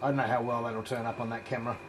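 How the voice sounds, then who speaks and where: A middle-aged man giving a casual hands-on home brewing demonstration, explaining in a relaxed way as he works in an indoor home brewery. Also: mid-range voice, racing, noisy background. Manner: relaxed, explanatory, thinking aloud while working.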